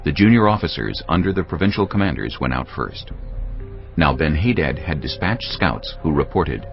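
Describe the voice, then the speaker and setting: A man's voice: extremely low pitch, big pitch swings, normal speed, gravelly. A man narrating a dramatised Bible story as a scripted reading. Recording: dull lo-fi audio with music. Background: background music.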